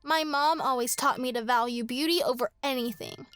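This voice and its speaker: A woman's voice: high voice, a little fast, a bit bright, clear, resonant tone, no room sound, soft background music. A woman playing a spoiled, petulant young girl in a horror radio drama. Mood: resentful. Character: spoiled, sulky young girl, vain and lonely.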